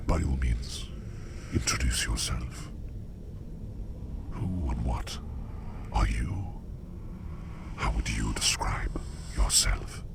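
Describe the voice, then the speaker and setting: A man playing a curious, enigmatic sleep demon in an ASMR roleplay, whispering slowly in formal, musing phrases with a gravelly creak. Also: exaggerated voice. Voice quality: breathy whisper over very deep gravelly creak.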